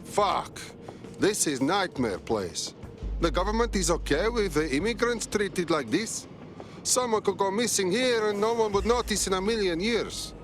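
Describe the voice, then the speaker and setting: Brash male underground operative, fairly high voice, animated, clipped phrasing, game cutscene. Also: unhurried, faint music behind. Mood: disgusted. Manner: blunt, clipped phrasing with dropped words. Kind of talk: video game cutscene acting.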